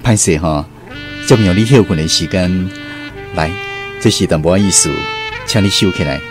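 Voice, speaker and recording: A man's voice: low voice, moderate pace, over music, pitched below the performer's own voice, lightly acted. A man with a Taiwanese accent playing a courteous, considerate newspaper reporter in a radio drama. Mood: apologetic.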